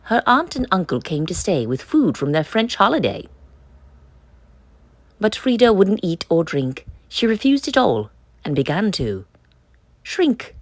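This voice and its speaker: A woman reading a children's picture book aloud in an expressive, emphatic storytelling style. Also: mid-range voice, unhurried, pauses often, no echo.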